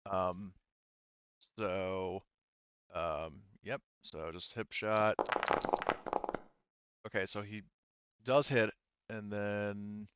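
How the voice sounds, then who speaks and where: A man acting as game master in a tabletop roleplaying game, improvising narration, thinking aloud haltingly and swearing casually. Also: lowish voice, steady tone, fairly bright tone, smooth, dull audio.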